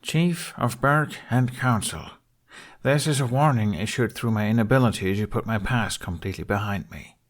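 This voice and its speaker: A man's voice: on the low side, moderate pace, natural voice. A man voicing a sarcastic, stubborn young human who travels with a dragon, in a wry, defensive manner for an audiobook. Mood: earnest, formal.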